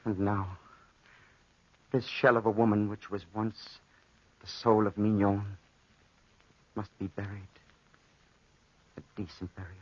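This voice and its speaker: An actor playing an obsessed, lovelorn soldier in a 1940s radio drama, with a brooding, melodramatic delivery. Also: male voice, lowish voice, level tone, a little slow, pauses often. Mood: tender, eerie. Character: obsessed, lovelorn soldier talking tenderly to a panther. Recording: dull, muffled 1940s radio recording.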